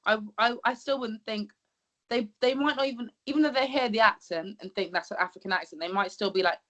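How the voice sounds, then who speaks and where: A woman co-hosting a casual podcast, speaking in an animated way and often checking that her listeners follow her. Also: on the high side, brisk. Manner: animated, laughs easily, checks in with "do you get what I mean". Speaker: podcast co-host. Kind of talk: casual conversational interview.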